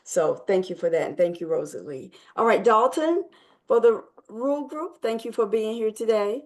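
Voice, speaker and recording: A woman chairing an online committee meeting, speaking in a measured, encouraging way. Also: a little high, lively intonation.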